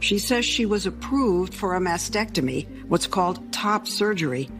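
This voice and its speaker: Woman news reporter, crisp and probing. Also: medium pitch, lively intonation, normal speed, over music. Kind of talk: broadcast news narration.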